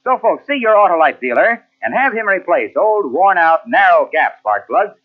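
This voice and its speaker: Male pitchman for 1940s radio, high swooping emphatic read, thin phone-like vintage sound. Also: big pitch swings, normal speed. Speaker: announcer. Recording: tinny old radio recording, music behind.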